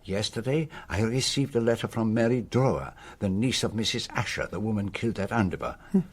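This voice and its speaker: An actor playing a cerebral, self-assured Belgian detective in a radio play, speaking precisely and formally with a French-speaking Belgian accent. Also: male voice, lowish voice, husky. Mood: measured, self-assured. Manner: precise, deliberate, formal phrasing. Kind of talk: radio drama acting.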